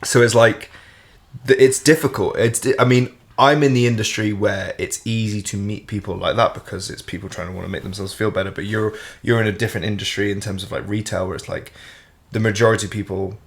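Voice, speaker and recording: A man's voice: fairly low pitch, fairly even intonation, fairly quick. A man, chatting casually as host, rambling with lots of fillers and the odd swear word. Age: 20s. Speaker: fitness coach and host. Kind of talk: casual chat.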